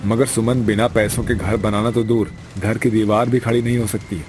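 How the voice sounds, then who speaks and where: Man acting poor young husband, earnest, lowish pitch, cartoon dialogue, music behind. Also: flat delivery, moderate pace, lightly acted. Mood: worried, discouraged.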